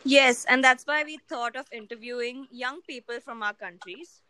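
A young woman from India co-hosting a casual discussion, speaking in an earnest, measured way. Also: sits high, sharp tone, clear, resonant tone, no echo. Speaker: co-host.

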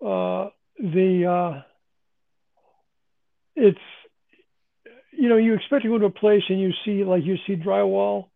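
A man with a hoarse, worn-out voice chatting casually as a podcast host, halting and restarting as he talks. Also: high voice, steady tone. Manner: halting, many restarts and fillers. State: hoarse, losing his voice. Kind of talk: casual chat. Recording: phone-quality call line.

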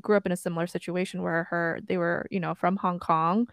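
A woman's voice: lowish voice, flat delivery, a little fast, clear, resonant tone, no room sound. Reflective young woman, dry close sound. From Korea. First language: Korean. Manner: reflective, thinks aloud with many likes and you-knows. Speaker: podcast co-host. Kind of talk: casual chat.

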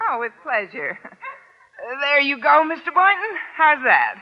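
A woman's voice: high voice, big pitch swings, a little slow. An American actress playing a wry, sardonic single woman teacher with dry wisecracking delivery in an old radio sitcom. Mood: dry, flirtatious. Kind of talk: 1940s-50s radio sitcom acting. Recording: dull, noisy old radio recording.

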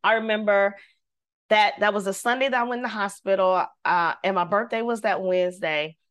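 A woman, a singer, telling a personal story in casual conversation, lively and devout, acting out the voices she quotes. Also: medium pitch, brisk, clear, resonant tone. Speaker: guest.

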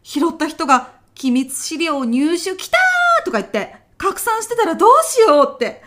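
A woman plays a female senior office worker in a comic radio drama, pitched notably high. She frets over small things and bosses her junior about. Her wording turns blunt and rough whenever she is challenged. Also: very animated, moderate pace, a bit bright, heavily acted. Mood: agitated. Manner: blunt, pushy, rough-mouthed when challenged. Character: bossy, fretful female senior office worker with petty worries. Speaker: voice actor in a comedy radio drama. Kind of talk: short comedic radio drama.

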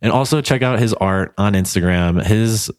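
Low-voiced man, gushing casual podcast host. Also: normal speed, clean tone, no room sound, studio quality. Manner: gushing, informal, frequent 'like'. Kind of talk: casual podcast interview chat.

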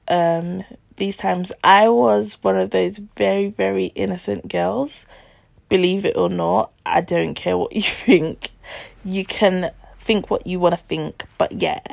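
Twenties, gravelly fry, chatty confiding podcast storyteller, dry close mic. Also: female voice, mid-range voice, darkish tone, dry recording. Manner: chatty, confiding, addresses listeners as guys. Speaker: podcast host telling a personal story. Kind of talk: casual storytelling.

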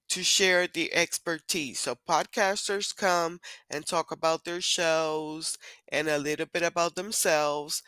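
A woman with a low, rough morning voice talking through a solo podcast in an unhurried, meandering way. Her tone is bright and forward despite the depth, and the sound is close and dry with no room around it. She jumps into excited high squeals between stretches of easy chat. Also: no room sound. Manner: chatty, rambling, with bursts of excited squeals. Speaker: podcast host.

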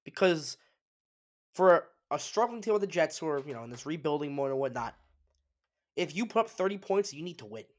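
A man's voice: a little high, expressive, fairly quick. A man hosting a solo sports talk show, congested and sniffly, giving emphatic opinions with frequent restarts. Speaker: sports podcast host.

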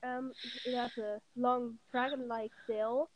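A teenage girl from the Netherlands with a Dutch accent, a guest on a casual podcast who talks in an unhurried way with many ums. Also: a little high, little pitch movement. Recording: dull, muffled audio.

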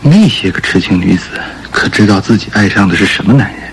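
A man acting in a radio drama, playing a suave, shrewd gentleman thief who muses theatrically. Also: lowish voice, expressive, quick pace, slight background noise, low-quality recording. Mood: musing, intrigued. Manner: theatrical, musing aloud. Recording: radio play with loud music bed.

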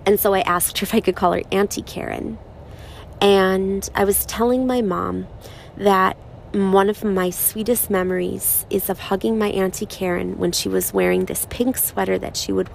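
Woman, 30s, raspy and gravelly from crying, soft halting monologue, faint background hum. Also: medium pitch, low-quality recording. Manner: slow, soft-spoken, halting with pauses. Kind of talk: personal reflective monologue.

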